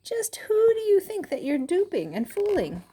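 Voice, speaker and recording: A woman's voice: very high voice, wildly swooping pitch, normal speed, warm tone, pitched above the performer's own voice, exaggerated voice. A woman voicing a group of smug friends who mock and scold in rhyme. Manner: mocking, sing-song.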